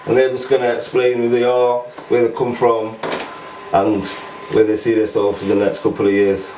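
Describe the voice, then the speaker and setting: A man's voice: fairly low pitch, monotone, moderate pace, darkish tone. Casual man hosting in echoey room. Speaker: podcast host. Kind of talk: casual chat. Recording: echoey room, mic far off, noisy.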